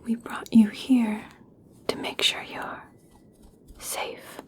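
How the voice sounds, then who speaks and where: A gentle young elf princess, acted by a woman speaks in a breathy whisper. She is extremely slow and deliberate, leaving long pauses. The delivery is soothing and caring, in the style of an ASMR personal attention roleplay. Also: on the high side, level tone. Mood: reassuring.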